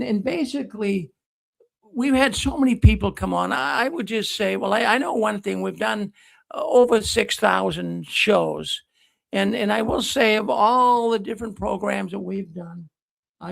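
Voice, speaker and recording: A man's voice: high voice, gravelly. A man hosting a talk program, rambling and often repeating words as he restarts sentences. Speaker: host. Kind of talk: casual talk show hosting.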